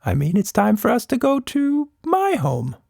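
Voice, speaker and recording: A man voicing an earnest, gentle monster friend in a children's storybook podcast. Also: extremely high pitch, wildly swooping pitch, normal speed, gravelly, dry recording, studio quality, voice pushed up, full character voice. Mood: earnest, insistent.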